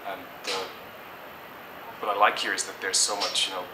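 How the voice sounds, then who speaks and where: A man's voice: low-pitched, little pitch movement, normal speed. A middle-aged man from Germany answers interview questions thoughtfully, hesitating and restarting often. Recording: echoey, distant mic, noisy background.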